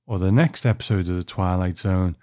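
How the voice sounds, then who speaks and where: A man's voice: low-pitched, brisk, a little mellow, no room sound, studio quality. A man hosting a podcast, reading out a scripted announcement to listeners. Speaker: podcast host.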